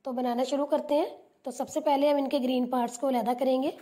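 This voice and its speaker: A woman hosting a cooking channel, calmly narrating a recipe step by step as a voice-over. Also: high-pitched, quick pace. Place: home kitchen.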